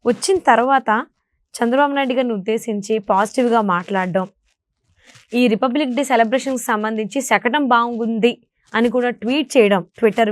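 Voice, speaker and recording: Casting: female news interviewer, slightly high voice, close dry studio sound. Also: no room sound. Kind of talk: broadcast interview questioning.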